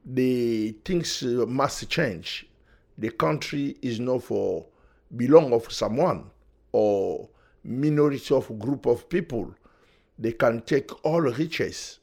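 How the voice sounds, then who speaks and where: A man, speaking as a podcast guest in halting, earnest English. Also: medium pitch, vocal fry, darkish tone. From Democratic Republic of Congo. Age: 40s. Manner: halting non-native English in short earnest phrases. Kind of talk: conversational interview, personal testimony.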